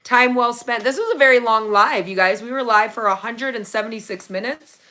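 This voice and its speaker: A woman hosting a casual livestream from her phone, reading viewer comments, her voice going raspy from talking so long. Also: on the high side, a little fast. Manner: chatty, excited when thanking viewers for gifts. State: losing her voice, getting raspy. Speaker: livestream host.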